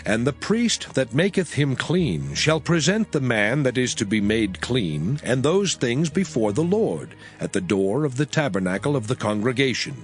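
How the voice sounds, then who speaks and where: Male narrator, scripture reading, lively pitch, solemn and measured, faint music underneath. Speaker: scripture reader.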